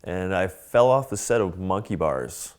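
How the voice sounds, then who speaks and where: A man in his thirties speaking calmly in simple statements in a documentary interview. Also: deep voice, a little slow, clean tone. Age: 30s.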